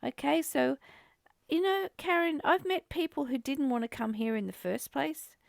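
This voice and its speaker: A woman's voice: high voice, moderate pace, vocal fry, dry recording, studio quality. A woman leading a casual, reflective spiritual discussion in a conversational way, repeating phrases for emphasis. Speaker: spiritual study host.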